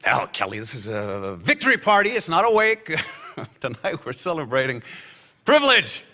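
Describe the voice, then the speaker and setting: A needling party guest. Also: male voice, fairly high pitch, big pitch swings, moderate pace, pushed and bright, big-room sound, exaggerated voice. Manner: sarcastic, needling, laughing between lines. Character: brash boozy male government insider at a party. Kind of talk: radio play acting.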